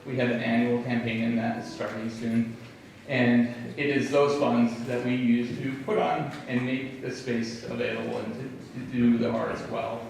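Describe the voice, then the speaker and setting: A man speaking as a school dean, giving informal welcome announcements in measured short phrases with pauses. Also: medium pitch. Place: school performance space with live audience. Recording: very echoey, noisy room, distant microphone.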